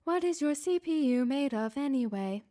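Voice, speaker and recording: A woman voicing a cold, sarcastic female AI who runs a testing facility, speaking slowly and condescendingly. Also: sits high, expressive, dark tone, voice pushed up. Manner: slow, measured, condescending.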